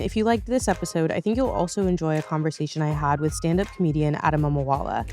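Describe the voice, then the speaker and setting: A woman's voice: on the low side, a little mellow. A woman hosting a podcast in a casual, conversational way, chatty and thinking aloud as she goes. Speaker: podcast host.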